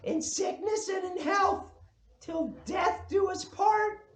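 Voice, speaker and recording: Woman acting a high, slow, menacing undead bride. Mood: sweetly menacing. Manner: slow, drawn-out vow recital. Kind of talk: table read of a horror film script. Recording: echoey room.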